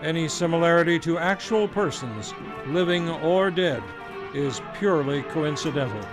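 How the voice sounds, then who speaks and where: A male radio announcer with a stately, booming delivery. His voice sits slightly high. Music plays clearly behind him. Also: normal speed.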